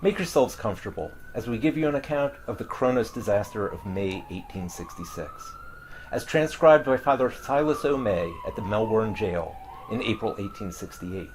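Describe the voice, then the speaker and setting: A man's voice: lowish voice, expressive, moderate pace, a little hiss or hum, poor audio. A man narrating a scripted introduction to a seafaring story in a theatrical, grandiose old-time storyteller manner. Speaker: narrator introducing a table read.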